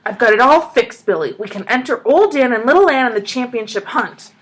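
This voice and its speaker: A woman voicing an excitable, kindly old grandfather who bursts into loud, eager shouts. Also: mid-range voice, normal speed, close to an everyday voice, rough recording. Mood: excited.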